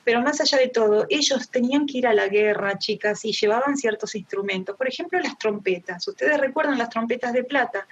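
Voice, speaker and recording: A woman's voice: fairly high pitch, a little fast, few pauses. A middle-aged woman with an Argentine accent gives a conversational devotional Bible teaching to a women's group, addressing her listeners directly. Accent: Argentine Spanish. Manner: engaged, addresses listeners directly with rhetorical questions. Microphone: online group call. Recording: dull, lo-fi online meeting audio.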